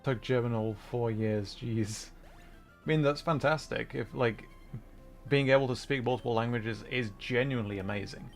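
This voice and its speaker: A man's voice: medium pitch, normal speed, soft background music. Self-deprecating man chatting on a game livestream. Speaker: livestream host. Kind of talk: casual chat while streaming a game.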